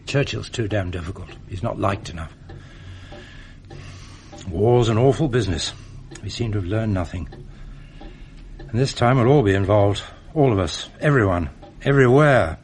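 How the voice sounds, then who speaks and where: An actor playing an ageing, world-weary former British officer with an English accent and clipped, understated upper-class speech in a radio drama. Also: male voice, lowish voice, lively intonation, moderate pace, pauses often, darkish tone. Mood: weary, grave. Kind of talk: period radio drama dialogue. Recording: noisy archive radio drama recording.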